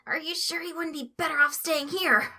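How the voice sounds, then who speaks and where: Woman acting a twitchy, high-strung teenage boy, shrill. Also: moderate pace, exaggerated voice. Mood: nervous, stammering. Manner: nervous, stammering, yelling into the mic. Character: twitchy, anxious teenage boy, panicky and stammering.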